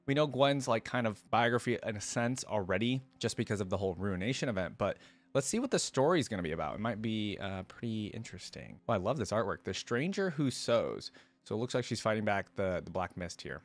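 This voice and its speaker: A man hosting a reaction video, reading story text aloud and adding informal, casual commentary. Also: fairly low pitch.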